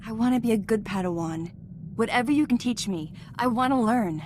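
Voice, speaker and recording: A woman's voice: a little high, a little fast, background noise, lightly acted. Woman playing eager young female Jedi apprentice. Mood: earnest, self-doubting. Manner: earnest, slightly hesitant, conversational. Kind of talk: scripted video game voice acting.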